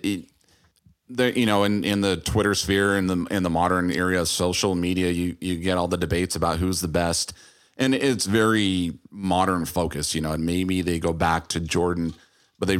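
Low-pitched man in casual chat. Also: normal speed. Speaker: podcast co-host.

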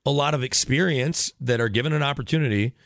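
Male sports broadcaster, rapid pace, dry close sound, long-winded, detailed, casual interview talk. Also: medium pitch, vocal fry, a bit bright, dry recording. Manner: long-winded, detailed explanations with tangents and self-corrections. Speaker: sports radio broadcaster, podcast guest.

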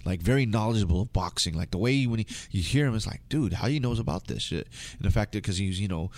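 Low-pitched, rambling American man. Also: expressive, fast, a little mellow. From United States. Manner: rambling, slangy. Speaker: interview guest. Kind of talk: casual conversation.

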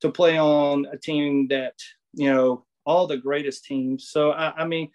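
Rambling man, slightly high voice. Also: flat delivery, unhurried, darkish tone, dull audio. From Southwest Virginia. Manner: rambling, many restarts and fillers. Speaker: guest, former baseball pitcher. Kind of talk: casual interview storytelling.

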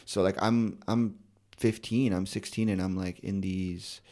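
A man's voice: sits low, a little slow. A man in his twenties, a rapper and poet, talking casually in an interview, full of "like" and repeated "yeah". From United States. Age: 20s. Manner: relaxed, repeats words and fillers, many "like" and "yeah, yeah".

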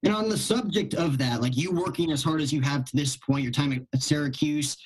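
A man interviewing a guest in a casual, halting way, working through long-winded questions. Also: fairly high pitch, breathy edge, poor audio.

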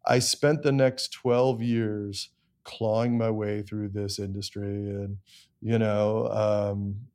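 A man's voice: on the low side, level tone, takes it slowly, a little mellow. A middle-aged man with an American accent, telling his story candidly and self-deprecatingly as an interview guest. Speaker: interview guest, songwriter and producer.

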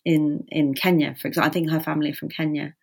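A woman's voice: sits low, level tone, fast, creaky, a little mellow, breathy edge. A woman novelist, born in Britain with Gujarati as her first language, talking thoughtfully in an interview about her book, often restarting her sentences. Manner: thoughtful, with frequent restarts and "you know". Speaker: novelist guest. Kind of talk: conversational interview.

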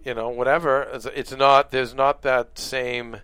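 A man's voice: mid-range voice, level tone, unhurried, a bit bright, clean tone, no room sound. A man guesting on a podcast in a studio, talking in a rambling way with many restarts. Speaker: podcast guest, show producer. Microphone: swapping headsets, first mic not working.

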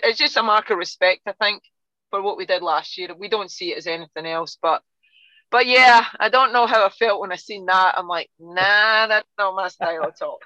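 A Scottish woman talks through a casual podcast interview with a bright, forceful tone. She restarts her sentences often and fills gaps with "um". The sound is dull and a little muffled, recorded dry with no room echo. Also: lowish voice. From Scotland. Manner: chatty, frequent restarts and fillers, laughs easily. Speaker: guest soccer coach.

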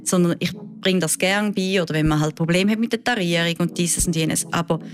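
A woman with a Swiss German accent, a diving instructor who answers interview questions in a lively, chatty way with frequent fillers. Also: lowish voice, a little fast. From Switzerland.

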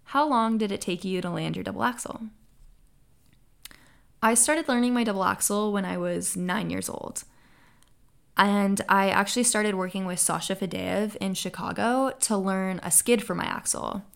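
A woman's voice: medium pitch, normal speed, vocal fry, smooth. A young American woman hosting her own podcast, answering listener questions in an upbeat, earnest way. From United States. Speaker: podcast host. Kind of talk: solo podcast Q&A.

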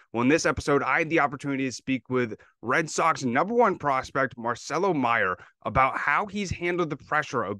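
Young male sports podcast host, smooth clear voice, chatty and rambling. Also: medium pitch, fairly bright tone, no room sound. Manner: rambling questions with many fillers and restarts. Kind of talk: casual interview chat.

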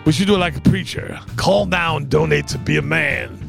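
A man's voice: fairly high pitch, expressive, brisk, vocal fry. A man co-hosting a podcast, chatting casually with slangy, profane, joking talk. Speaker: podcast co-host. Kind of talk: casual chat. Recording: music behind the voice.

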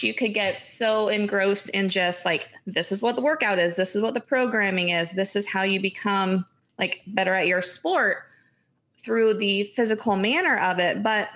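A woman guest on a podcast, a running coach, talking in an upbeat, quick and energetic way. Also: medium pitch. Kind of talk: casual podcast interview.

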